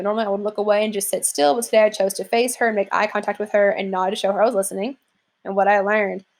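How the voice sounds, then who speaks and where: Casual young female teacher, relaxed filler-heavy delivery, mid-range voice, explaining an assignment. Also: mid-range voice. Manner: casual, chatty, many "like" and "um" fillers. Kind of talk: classroom lesson walkthrough.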